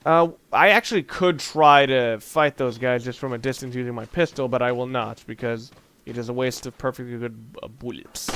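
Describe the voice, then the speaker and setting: A man hosting a let's play, commentating casually on a video game in a rambling, halting way with dry joking asides. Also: medium pitch, a bit bright, a little hiss or hum. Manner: rambling, halting self-corrections. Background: video game music.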